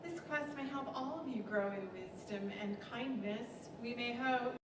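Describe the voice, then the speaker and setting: A woman playing a regal princess, formal, measured and gently admonishing, in an old radio-play style. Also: fairly high pitch, lightly acted. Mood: gently reproving. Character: regal fairy princess ruler, gentle but reproving. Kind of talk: 1940s radio-style stage acting. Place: library stage, microphones on stands. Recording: echoey hall from far off, noisy.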